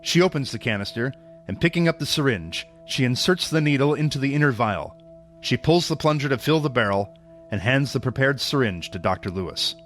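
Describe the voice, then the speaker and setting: A man serves as narrator of a scripted audio drama, telling the action in prose. His voice sits at a medium pitch, with faint music behind it. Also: expressive, fairly quick, a bit bright.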